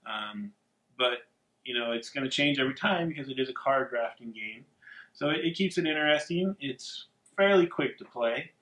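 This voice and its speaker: A man with a mid-pitched voice walks through a card game's rules in a steady, plain way, dropping frequent ums. The sound is roomy and echoey. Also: normal speed. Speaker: podcast co-host. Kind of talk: casual game explanation.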